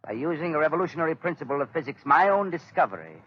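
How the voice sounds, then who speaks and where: Gloating mad inventor, vintage radio. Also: male voice, fairly high pitch, big pitch swings, very fast, a little hiss or hum. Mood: intense, lecturing. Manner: theatrical, grandiose, gloating. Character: dying mad male inventor, gloating, bitter, vengeful cousin. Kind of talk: 1930s radio drama acting. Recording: dull vintage 1930s radio recording.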